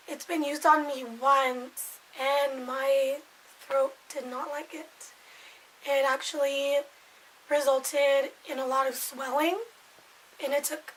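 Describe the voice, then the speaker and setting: A woman in her twenties with a hoarse, raspy voice, chatting casually to camera in a rambling way that loses its thread. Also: high-pitched, rough recording. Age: 20s. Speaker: vlogger.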